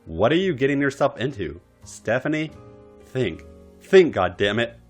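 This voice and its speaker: A man acts out a vulnerable young woman from a storybook romance. The delivery is pleading and yearning, with big pitch swings and music behind. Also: very animated, measured pace. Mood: urgent. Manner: breathy, pleading.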